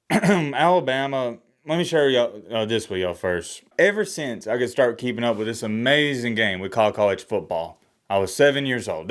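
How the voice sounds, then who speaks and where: A man, rambling through casual sports commentary to his viewers with lively, rising emphasis and the odd sigh. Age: 20s. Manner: animated, rising pitch when emphatic, sighing. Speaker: sports commentator and fan vlogger.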